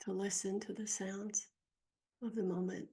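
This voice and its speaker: Slow, husky woman guiding a meditation. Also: mid-range voice, flat delivery. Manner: slow, gentle, soft-spoken with long pauses. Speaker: guided meditation teacher. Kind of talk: guided meditation.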